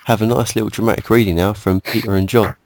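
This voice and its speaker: A man's voice: lowish voice, fairly even intonation, fast talker, darkish tone, dry recording. A man hosting a podcast in a casual, joking chat style. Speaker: podcast host.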